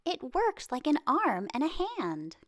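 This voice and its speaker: Lady doing an eager smart little kid, boy in a storybook, really bouncy pitch, dry sound. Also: wildly swooping pitch, a little slow, a bit bright, dry recording, exaggerated voice. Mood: excited. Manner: earnest, eager. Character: excited, confident small boy, clever and kind. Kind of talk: storybook read-aloud.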